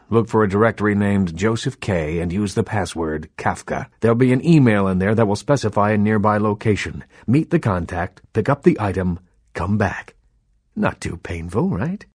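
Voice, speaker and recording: An actor playing an urbane, sardonic vampire baron in a video game, who talks in a quietly threatening way. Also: male voice, fairly low pitch, no room sound, studio quality. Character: old vampire baron, suave, menacing film buff.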